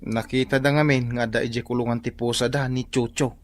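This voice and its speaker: A man's voice: medium pitch, little pitch movement, a little fast, dry recording, close to an everyday voice. An actor playing a mild, simple village man in an Ilocano radio drama, speaking hesitantly. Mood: sheepish.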